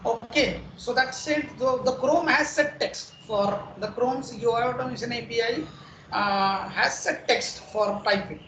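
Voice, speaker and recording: An instructor walks through a technical topic step by step, using everyday comparisons and frequent check-ins. The voice sits at a middle pitch. The room adds a noticeable echo, with a faint hiss underneath. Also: a little slow, fairly bright tone. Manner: explains patiently with everyday analogies, checks in with "okay" and "right". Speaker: software testing instructor. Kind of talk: recorded technical lecture. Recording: roomy echo, faint background noise.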